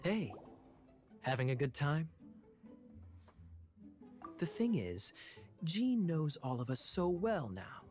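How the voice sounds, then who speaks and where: A man's voice: a little high, sing-song, takes it slowly, pauses often, darkish tone. A man playing a suave, sly, playful young knight in an anime game dub. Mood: amused, sly. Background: music.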